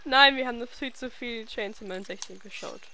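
Young female gamer, bright sharp voice, excitable and playful casual commentary. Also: a little high, a little fast, rough recording. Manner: silly, excitable, breaking into exclamations and singsong. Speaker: let's play host.

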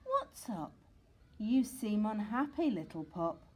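Woman as a kindly, unhurried father dog. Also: medium pitch, sing-song, a little mellow, husky, exaggerated voice. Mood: concerned.

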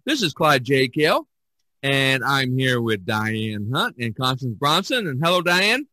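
An American man hosting a casual podcast, speaking in a somewhat halting way. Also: medium pitch, very animated, bright, forceful tone, dry recording, studio quality. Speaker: podcast host. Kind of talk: casual podcast talk with announcing.

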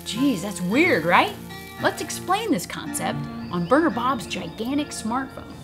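A woman's voice: on the high side, big pitch swings, a little slow. A woman narrating for children in an upbeat, simple way, as a prescribed burner explaining the forest. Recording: voice-over over music. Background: background music.

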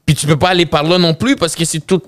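A man in his thirties with a Quebec French accent, a podcast guest telling his story in an animated way, with many restarts and English words mixed in. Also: on the high side, quick pace, no echo, clean studio sound. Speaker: podcast guest, professional hockey player. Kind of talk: casual storytelling interview. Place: indoor podcast studio with bookshelves. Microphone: studio podcast mic on boom arm.

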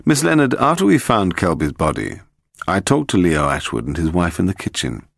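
Man playing a low-voiced, probing British gentleman detective. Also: very animated, gravelly, no room sound, studio quality, full character voice. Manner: measured, noncommittal.

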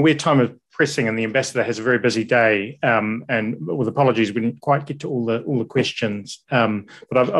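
A man chairing an event, thanking the speaker and introducing the next one, halting with frequent hesitations. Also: mid-range voice. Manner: halting, many ums and hesitations. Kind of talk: formal thank-you and introduction. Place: university lecture room with audience.

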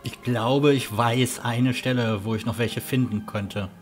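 A man's voice: medium pitch, fairly even intonation, a little slow, faint music behind, close to an everyday voice. A man playing a friendly, helpful and somewhat hesitant castle huntsman in a fantasy audio drama.

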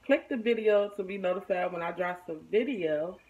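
A woman's voice: on the low side, normal speed, darkish tone. An American woman chatting casually to camera in a folksy, informal way, as a vlogger at home. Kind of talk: casual chat to camera, showing purchases. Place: room at home, indoors. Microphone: phone or camera at arm's length. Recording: noisy handheld home recording, clicks.

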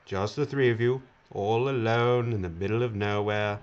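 An actor playing a smarmy, self-satisfied corporate boss with a glib, patronizing manner in an audio drama. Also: male voice, lowish voice, normal speed, smooth, lightly acted. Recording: dull, noisy audio.

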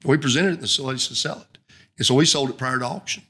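A man's voice: medium pitch, racing, bright, forceful tone, slightly rough. A middle-aged man with a Southern US accent, explaining his trade in a folksy, repetitive way as a podcast guest on a close studio mic. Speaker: guest, auctioneer. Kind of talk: conversational podcast interview, explaining his business. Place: indoor podcast studio at a round table. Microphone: boom-mounted podcast microphone up close.

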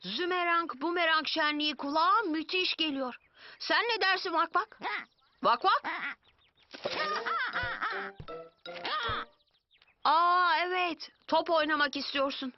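A woman voicing an eager, excitable young boy in a dubbed children's cartoon. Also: very high-pitched. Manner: eager, exclamatory. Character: excited young boy character with a new boomerang toy. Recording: dull lo-fi audio with loud music.

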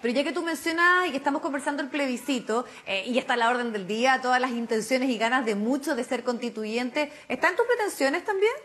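A woman anchors a television interview over a remote link. Her voice sits a little high, and she frames her questions in a fluent, measured way. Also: expressive, fairly bright tone. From Chile. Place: indoor room.

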